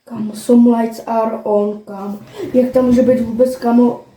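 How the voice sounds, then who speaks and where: Young girl reacting casually, slightly high soft voice, teasing and sweary, echoey room. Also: flat delivery. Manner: slangy, teasing, blunt, swearing. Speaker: co-commentator. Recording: roomy echo, faint noise and clicks.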